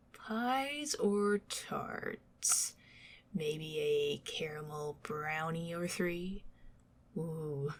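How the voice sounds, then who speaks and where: A woman with a Southern accent voicing a shy, affectionate young dragoness on a dinner date, talking softly and often slipping into whispers, joking at her own expense. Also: deep voice, heavily acted. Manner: soft, intimate, drifting into whispers; self-deprecating, joking, flustered. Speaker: ASMR performer. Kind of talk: ASMR roleplay.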